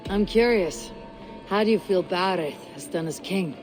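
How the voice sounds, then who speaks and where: A woman's voice: fairly low pitch, normal speed, over music, natural voice. A woman voicing a blunt Viking warrior. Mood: terse. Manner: terse, blunt.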